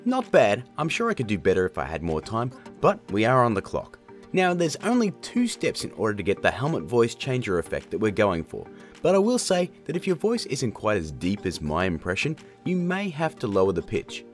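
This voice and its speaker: A man in his thirties energetically hosting a software tutorial, chatty and jokey, from a home studio. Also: lowish voice, huge pitch swings, a little fast, faint music behind. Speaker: video tutorial host.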